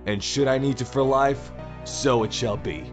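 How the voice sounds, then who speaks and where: An actor playing a zealous new president turned dictator, giving a solemn, oratorical speech in an audio drama. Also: male voice, mid-range voice, normal speed, over music, natural voice. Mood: accusing, resolute.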